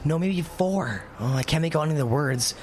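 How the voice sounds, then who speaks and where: A male actor playing a jittery, wisecracking boy in a radio drama, talking in hushed, urgent tones. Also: fairly high pitch, lively intonation, a little fast, background noise, close to an everyday voice. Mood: hushed, wry. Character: jittery, wisecracking young boy, the twin brother. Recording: music behind.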